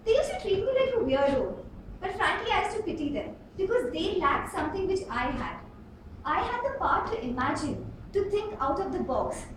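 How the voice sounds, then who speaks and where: A woman delivers a rehearsed tall tale in a very high, animated voice, pausing for dramatic emphasis like a practiced contest speaker. She is recorded from a distance in a club room, with noticeable noise behind her. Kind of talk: rehearsed storytelling speech to a live club. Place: indoor club meeting room. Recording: roomy, distant mic with clear background noise.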